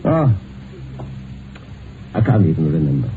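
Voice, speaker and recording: A cynical, possessive British husband, mocks and bullies in a clipped, theatrical radio drama style. The sound is a thin, tinny old broadcast with clear noise behind it. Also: male voice, rumbling low, very animated, unhurried, exaggerated voice. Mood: sardonic. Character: rich, bullying, cynical husband, mocking and possessive.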